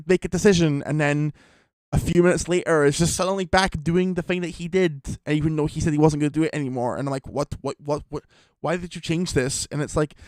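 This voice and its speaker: A man's voice: on the high side, darkish tone, no echo. A man giving a casual, rambling film review, full of fillers and restarts.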